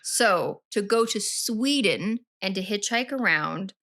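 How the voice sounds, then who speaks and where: A woman's voice: mid-range voice, lively intonation, slow pace, fairly bright tone, dry recording. Chatty woman podcast co-host, dry sound. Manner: chatty, rambling, self-correcting. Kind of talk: casual true-crime podcast chat.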